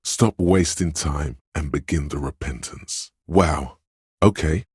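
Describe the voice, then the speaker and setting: An actor voicing a sly, coaxing inner voice of a detective's mind in a video game, deliberate and theatrical, with a gravelly, husky tone. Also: male voice, rumbling low, darkish tone, no room sound, clean studio sound, heavily acted. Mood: mocking, sardonic. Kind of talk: scripted game voice-over narration.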